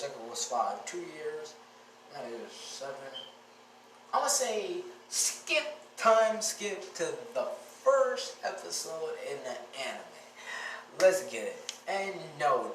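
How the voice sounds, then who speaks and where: A man's voice: on the high side, fairly bright tone. Guy w a stuffy cold, scratchy voice, rambling n fixing himself, noisy background. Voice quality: scratchy, raspy. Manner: rambling, with frequent self-corrections. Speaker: fan-fiction storyteller. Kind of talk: casual rambling storytelling. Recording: roomy, distant mic, noisy background.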